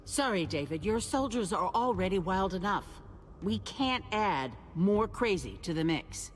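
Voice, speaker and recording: A woman's voice: medium pitch, animated, unhurried, lightly acted. A woman playing a cool, firm council member in a video game drama, heard in a reverberant hall. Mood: disapproving. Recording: long hall reverb, faint music.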